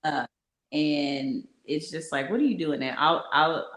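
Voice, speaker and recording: Low-voiced woman chatting casually. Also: measured pace. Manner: animated, laughing, acts out quotes. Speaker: podcast host. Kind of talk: casual recap chat.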